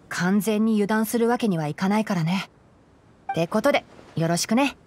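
A woman playing a calm, knowledgeable young male forest ranger in an anime game, explaining things in a composed, gently teasing way. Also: mid-range voice, a little hiss or hum, close to an everyday voice. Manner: explanatory, composed, lightly dry. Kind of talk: Japanese anime game voice acting.

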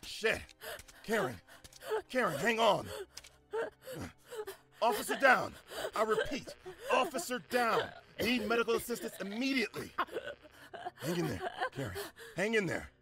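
A man's voice: sits high, wildly swooping pitch, unhurried, long pauses, bright, forceful tone, heavily acted. An actor playing a panicked male police detective in an audio drama, calling out urgently. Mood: panicked, desperate. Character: frantic male police detective shouting for help.

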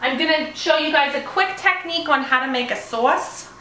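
High-voiced woman hosting a kitchen demo. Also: moderate pace, sharp tone, far from the mic. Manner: chatty, explaining steps as she cooks. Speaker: cooking show host. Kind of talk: casual cooking demonstration. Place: small home kitchen, indoors. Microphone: camera mic at a distance. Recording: echoey kitchen, voice from off-mic, stove noise. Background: sizzling pan and stirring.

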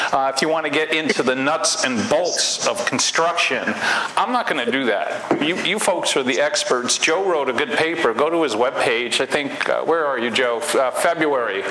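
A man in his sixties from Vermont, giving a lively, joking conference talk on a lapel mic while pacing the stage. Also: mid-range voice. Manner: joking, energetic, gestures widely while pacing. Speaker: conference speaker, fire investigator. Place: large hotel conference room. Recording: echoey hall, faint room noise.

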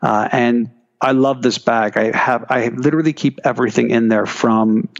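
A man's voice: mid-range voice, fairly even intonation, normal speed, vocal fry, dull audio. A man talking casually as an interview guest, chatty with frequent restarts and fillers. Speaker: guest, keynote speaker.

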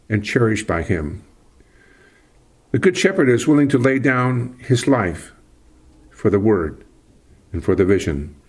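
Older male priest, husky, low-pitched, slow repetitive sermon cadence. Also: a little mellow. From Canada.

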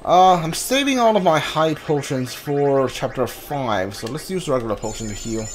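A man's voice: a little high, expressive, moderate pace. A man giving casual commentary on a game he plays, often muttering questions and asides to himself. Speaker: gamer streaming a blind playthrough. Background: game music.

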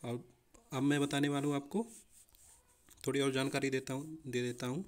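A man's voice: mid-range voice, fairly even intonation, moderate pace, a little mellow. A young man explaining exam preparation informally as a coaching teacher, often addressing listeners as friends.

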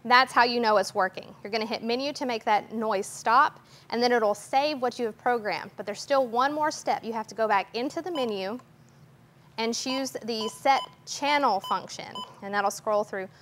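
A young woman giving an orderly, step-by-step instructional demonstration in a clear explaining manner. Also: fairly high pitch, moderate pace. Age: young adult. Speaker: guest demonstrator. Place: TV station weather office, indoors. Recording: noticeable background noise.

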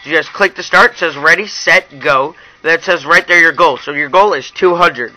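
A man's voice: on the high side, normal speed, bright, forceful tone, low-quality recording. A young man narrating a casual, hands-on app review in an informal way, talking straight to his viewers. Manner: informal, addresses viewers as you guys.

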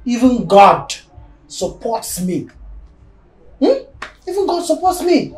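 An actor with a Nigerian accent playing a self-assured husband in a film drama, soothing and insistent. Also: male voice, high voice, sing-song, slow, a little mellow, distant mic, exaggerated voice. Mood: animated relief. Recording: echoey room, faint music behind.

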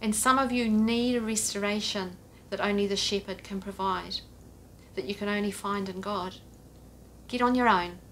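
A middle-aged woman preaches straight to the camera from a sofa in her living room, earnest and direct, her voice sitting at an ordinary middle pitch. A faint low rumble runs under the recording. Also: fairly even intonation, normal speed, a bit bright, rough recording. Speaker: pastor. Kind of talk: home church sermon to camera. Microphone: camera at a few feet, head and shoulders framing.

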